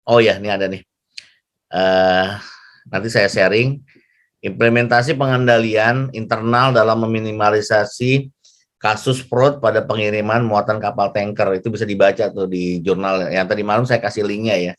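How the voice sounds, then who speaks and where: A man leading an informal class discussion as lecturer, chatty and halting, often repeating himself. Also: on the low side, steady tone. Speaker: lecturer moderating an online class.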